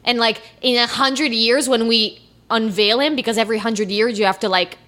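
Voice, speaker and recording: A woman co-hosting a casual podcast with a fairly high voice. She is animated, laughs often and swears freely as she chats. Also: normal speed. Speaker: podcast co-host. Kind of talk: casual chat.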